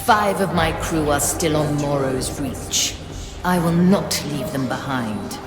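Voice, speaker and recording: A woman's voice: deep voice, slow pace. An actress voicing a commanding, solemn female spirit of a ghost ship in a video game, with ghostly reverb. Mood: stern. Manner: solemn, authoritative. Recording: echoey long reverb over music.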